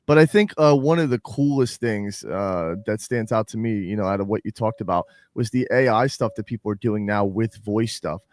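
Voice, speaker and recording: A man's voice: lowish voice, normal speed, hardly any pauses, dry recording, studio quality. A man co-hosting a casual podcast chat, talking in long rambling, enthusiastic runs.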